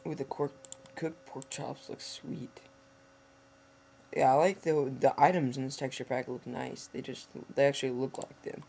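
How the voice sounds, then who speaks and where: A hoarse teenage boy with a gravelly, creaky voice rambles through a let's-play, correcting himself and talking to his viewers. He sounds like he is at a home desk mic, with audible background noise and keyboard typing. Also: medium pitch, fairly even intonation, normal speed, a little mellow. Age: teens. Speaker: gameplay commentator. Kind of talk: casual let's-play commentary. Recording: noisy home recording with typing.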